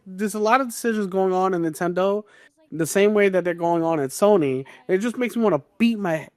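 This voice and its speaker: A man's voice: high voice, no room sound, clean studio sound. A man hosting a podcast, casually discussing the news with exasperated, opinionated commentary. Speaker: podcast host.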